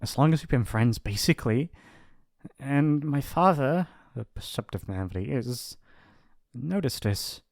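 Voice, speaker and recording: A man's voice: mid-range voice, expressive, measured pace, creaky, no room sound, clean studio sound. A man playing a shy, apologetic young mafia boss's son in an intimate ASMR roleplay, with a hesitant, rambling, awkward delivery. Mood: shy, confessing.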